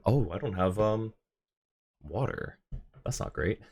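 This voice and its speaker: A man with a low-pitched voice hosts a livestream. He talks casually and sociably with his audience, in a friendly, conversational way. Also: expressive, a little slow, darkish tone, slightly rough. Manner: friendly, chatty, thanks and greets viewers by turns. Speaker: live streamer, host. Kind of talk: casual livestream chat with viewers.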